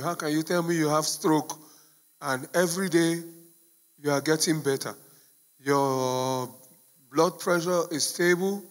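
A middle-aged man telling his testimony earnestly into a handheld mic. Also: fairly high pitch.

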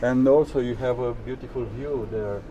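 A man interviewing inside a small caravan at a trade fair, speaking haltingly with many fillers and non-native phrasing. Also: mid-range voice, crowd noise, low-quality recording. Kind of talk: casual walkthrough interview.

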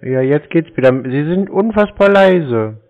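A man in his twenties on a phone call, speaking in a terse, deadpan, deliberately obtuse way. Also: fairly high pitch. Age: 20s. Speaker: scambaiter taking scam calls. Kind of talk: prank phone conversation. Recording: narrow-band, dull phone-call audio.

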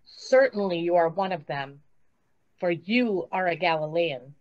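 A woman's voice: fairly low pitch, animated, measured pace. A woman reading the part of a suspicious, insistent servant girl in an amateur church dramatic reading. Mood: accusing. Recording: dull video-call audio.

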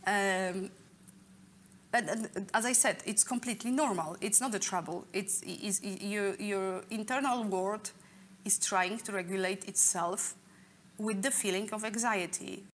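Casting: middle-aged woman, earnest speaker explaining to an audience, hesitant phrasing, mid pitch, slight room noise. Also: a bit bright. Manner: halting, searching for words, earnest. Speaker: speaker leading an online talk. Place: indoor room, office-like.

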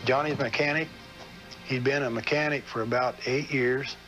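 A man's voice: mid-range voice, fairly even intonation, moderate pace, bright, forceful tone, dull audio, soft background music. A man with an American accent giving a personal recollection in an interview.

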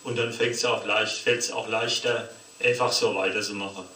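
A man's voice: on the low side, fairly even intonation, moderate pace, bright, forceful tone. Echoey, distant man, rambling. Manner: colloquial, rambling, with tag questions. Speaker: poetry slam performer. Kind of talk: comic spoken-word monologue, played from a cassette recorder. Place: cassette playback in a cinema hall, picked up from the audience. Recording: distant, echoey, faintly noisy cassette playback in hall.

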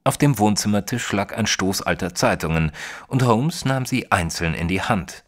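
An actor playing an earnest detective's companion, narrating an audio drama in a measured, literary way. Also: male voice, lowish voice, expressive, fairly bright tone. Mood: measured, curious.

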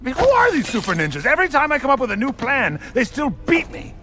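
An actor playing a vain, volatile cartoon villain warlord, sarcastic and swinging from casual chat to outrage. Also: male voice, fairly low pitch, big pitch swings, fast, creaky, fairly bright tone, faint music behind, full character voice. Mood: exasperated, outraged. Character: theatrical four-armed male villain warlord, vain and volatile. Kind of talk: comedic animated film acting.

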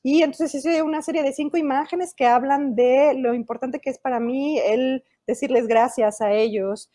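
Lively Mexican woman on a video call. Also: sits high, moderate pace, no room sound, clean studio sound. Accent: Mexican Spanish. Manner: animated, gestures while talking. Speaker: illustrator and children's author. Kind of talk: interview over video call. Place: indoor room at home. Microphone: earphone headset mic on a video call.

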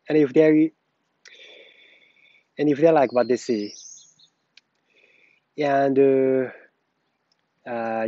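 Learner in his forties reciting a speech from memory; warm, dry, slightly dull earbud sound. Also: medium pitch, dry recording. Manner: recites from memory, repeating and correcting phrases. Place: beside a plain wall with a vent.

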